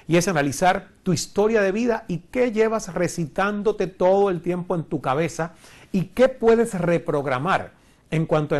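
A man's voice: fairly high pitch, darkish tone. A man in his forties from Cuba, standing before a screen in a studio and teaching an online course with a lapel mic, earnest and asking rhetorical questions. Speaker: course instructor. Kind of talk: recorded online lecture.

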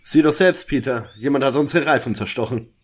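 A man's voice: medium pitch, a little fast, breathy edge, close to an everyday voice. A man playing a sensible, earnest teenage detective in an audio drama parody. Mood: sceptical.

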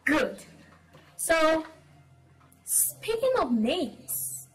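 A woman's voice: high-pitched, very animated, drawn-out words, heavily acted, rough recording. A young female actor playing a playful, bossy Nigerian girl in a film drama, talking in a teacherly, teasing way. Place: porch outdoors.

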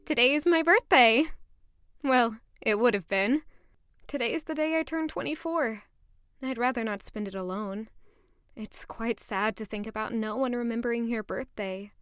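Audio drama noblewoman, twenties, female actor, high-pitched, polite and pensive. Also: normal speed, fairly bright tone, clean tone, no room sound, clean studio sound, close to an everyday voice. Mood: wistful. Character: wistful, polite young noblewoman aged twenty-four. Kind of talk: audio drama acting with introspective narration.

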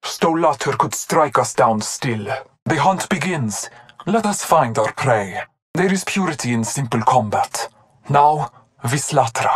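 A woman's voice: a bass voice, lively intonation, measured pace, exaggerated voice. A woman voicing a solemn, devout masked hunter in a video game, with a Nordic accent, formal grave phrasing and a muffled mask filter. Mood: grave, resolute. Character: solemn, devout masked hunter-warrior, androgynous, reverent and fierce. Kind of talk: video game character voice lines.

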